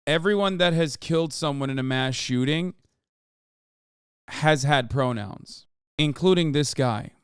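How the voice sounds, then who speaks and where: Male streamer, Turkish first language, profane sarcastic commentator, crisp dry close-mic sound. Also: on the high side, no echo. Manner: profane, sarcastic, rapid rants. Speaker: streamer host. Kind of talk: casual live-stream news commentary.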